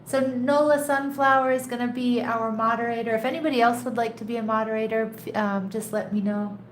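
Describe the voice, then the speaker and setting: A woman hosting a live tarot reading, a little congested and nasal, chatting casually and greeting viewers by name between soft laughs. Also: on the high side, steady tone, fairly quick. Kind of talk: casual live-stream chat. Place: home in a rainy tropical place. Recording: echoey room, faint background noise.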